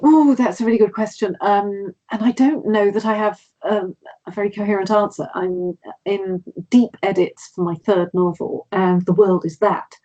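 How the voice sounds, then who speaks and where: A woman's voice: mid-range voice, fairly even intonation, normal speed, mellow, muffled recording. A woman author from Britain, a guest in a casual conversation, speaking thoughtfully as she searches for words.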